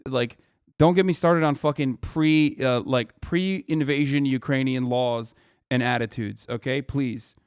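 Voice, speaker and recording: A man's voice: medium pitch, unhurried, smooth, dry recording, studio quality. A man streaming live, reacting to a news video with heated, profane rants and emphatic repetition.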